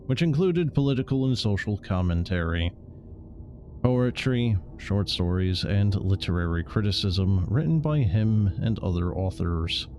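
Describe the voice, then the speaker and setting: A man's voice: fairly low pitch, a little mellow, a little hiss or hum. A man streaming a game, commenting casually and reading text aloud.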